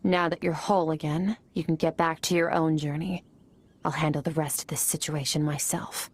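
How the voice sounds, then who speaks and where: Cool, composed swordswoman, low-set voice, calm, detached, game voice acting. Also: normal speed. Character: aloof powerful woman warrior, cool and composed.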